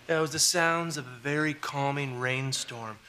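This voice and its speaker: An actor playing a loud, dim, goofy young husband in a sitcom. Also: male voice, mid-range voice, steady tone, moderate pace, a little hiss or hum, natural voice. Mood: goofy, casual. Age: young adult. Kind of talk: broadcast sitcom acting with comic timing.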